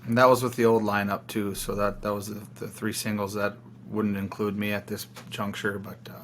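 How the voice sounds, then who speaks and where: Flat, low-pitched man. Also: brisk. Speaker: band singer and guitarist being interviewed. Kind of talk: casual interview chat. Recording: faint hum and noise.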